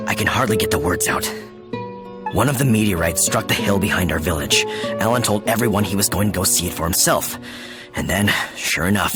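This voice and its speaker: A man's voice: sits low, lightly acted. A man voicing a worried, grumbling villager in a video game, earnest and folksy. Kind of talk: video game character acting.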